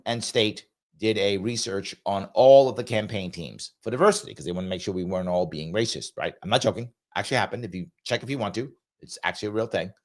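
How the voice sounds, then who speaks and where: Blunt, emphatic man with a lingering cough. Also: lowish voice. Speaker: political talk show host. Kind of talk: livestream commentary reading viewer comments.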